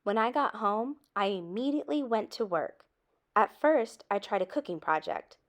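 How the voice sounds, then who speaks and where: A woman reading a children's story aloud expressively, with dramatic emphasis and drawn-out words. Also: medium pitch, expressive. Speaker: storybook reader.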